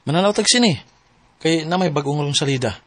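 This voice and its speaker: An actor playing an ardent, coaxing young suitor in a radio drama, with a Cebuano accent. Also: male voice, a little high, lively intonation, a little fast, fairly bright tone, dry recording. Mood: eager. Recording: music bed under radio drama voices. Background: music.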